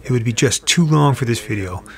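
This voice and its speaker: A middle-aged man giving an upbeat, relaxed voice-over narration for his travel vlog. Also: mid-range voice, fairly quick, creaky.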